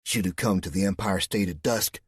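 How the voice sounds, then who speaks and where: A man's voice: low-pitched, a little fast, husky, dry recording. A man voicing a sassy, sarcastic woman with a West Virginian accent who teases with pet names. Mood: teasing. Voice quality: drawling, sarcastic, teasing.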